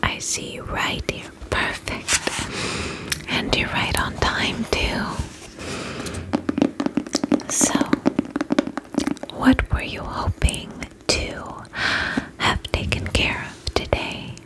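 A woman in her twenties doing a soothing, attentive ASMR roleplay as a spa attendant, whispering softly close to the microphone. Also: drawn-out words, pauses often. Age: 20s. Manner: soft, soothing, attentive customer-service patter. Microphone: close microphone, face near camera.

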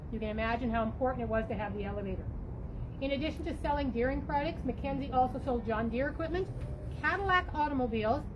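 A middle-aged woman with a high voice reads a local history tour from paper notes, explaining each detail with casual asides. She speaks into a handheld mic outside on a sidewalk. Passing traffic and street noise sound clearly behind her. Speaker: walking tour guide. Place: outdoors on a city sidewalk. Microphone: handheld mic with foam windscreen.

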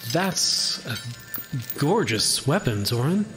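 Male actor as concerned fantasy companion, unhurried, animated, gentle, audio drama, background noise. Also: on the high side, music in the background. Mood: friendly concern. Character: friendly, concerned male adventurer.

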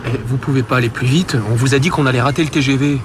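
A man's voice: mid-range voice, fairly even intonation, fast talker, natural voice. An actor playing a bossy, impatient young man in a radio drama, swearing in slang. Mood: impatient, annoyed. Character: bossy, panicky, foul-mouthed young man. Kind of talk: French radio drama acting. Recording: street and car noise behind.

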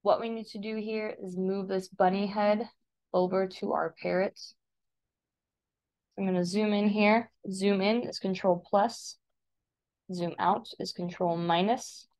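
An American woman teaching a step-by-step software tutorial in an informal, instructive way. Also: medium pitch, fairly even intonation, a little slow, poor audio. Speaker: digital design teacher. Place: voice-over for a screen recording.

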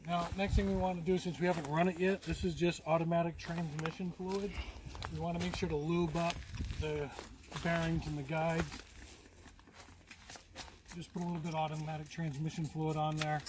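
A man's voice: a little high, little pitch movement, moderate pace. A middle-aged man giving a casual, plain-spoken hands-on demonstration as he works outdoors, a few steps from the camera. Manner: plain-spoken, explanatory while working. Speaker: vlogger demonstrating his sawmill. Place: outdoors in a wooded clearing. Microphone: camera a few steps away. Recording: outdoor camera audio, clear background noise.

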